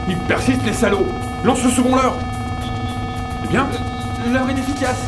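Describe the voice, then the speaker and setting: Male actor as panicked pilot, very high pitch, urgent shouts, audio drama, loud music. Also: normal speed. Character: panicked male military pilot sending a mayday under attack.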